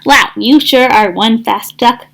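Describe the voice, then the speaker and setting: Woman voicing an eager, high-pitched storybook duck. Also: measured pace, vocal fry, a bit bright, heavily acted. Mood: excited. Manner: enthusiastic, quacking exclamations. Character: eager, cheerful male duck, admiring friend.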